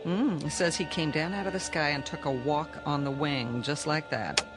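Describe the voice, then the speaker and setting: A woman's voice: deep voice, normal speed, close to an everyday voice. A woman playing a chatty, unflappable, teasing young stewardess in a radio drama. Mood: gossipy, amused. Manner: breezy, teasing. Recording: music behind the voice.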